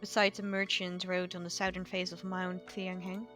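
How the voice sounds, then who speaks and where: A woman's voice: fairly low pitch, completely flat delivery, normal speed, natural voice. Girl reading out a cold immortal demon slayer guy from a game, formal, curt, disdainful, music playing. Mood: solemn, instructive. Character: stern, aloof male immortal demon slayer. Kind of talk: video game dialogue read aloud.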